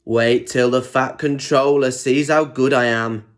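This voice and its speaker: A man's voice: mid-range voice, fairly even intonation, unhurried, lightly acted. A man voicing a rude, boastful male diesel engine in a children's storybook, snappy and full of himself.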